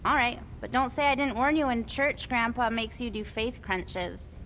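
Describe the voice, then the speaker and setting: A woman's voice: a little high, moderate pace, a bit bright, slight background noise, poor audio. An actress playing a quirky, playful female alien mystic in a video game, with a whimsical, sing-song delivery. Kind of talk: video game cutscene acting.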